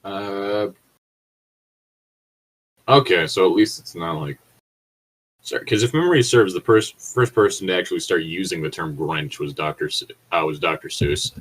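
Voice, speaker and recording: A man's voice: sits low, moderate pace, long pauses, a bit bright, slightly rough, low-quality recording. A man co-hosting a casual read-along, reading stories aloud and breaking off into rambling, joking commentary. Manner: rambling, jokey, chuckles at own remarks.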